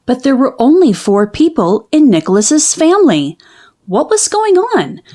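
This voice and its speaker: A woman narrates a children's story aloud. Her voice sits fairly high and moves up and down in a lively way, shaping each sentence expressively for young listeners. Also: measured pace. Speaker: children's book reader.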